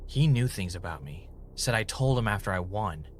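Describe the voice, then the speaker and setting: A man's voice: on the low side, lively intonation, normal speed, slight background noise, lightly acted. A man acting in an audio drama as an uneasy, skeptical, sleep-deprived guy who stammers and halts when rattled. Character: Ray, sleep-deprived, skeptical, rattled former reality show contestant.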